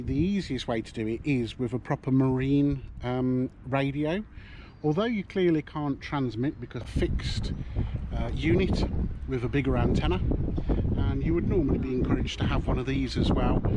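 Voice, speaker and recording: A man casually explaining a handheld marine radio, talking in a relaxed, halting way with many ums. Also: medium pitch. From United Kingdom. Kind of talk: casual product demonstration. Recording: loud background noise.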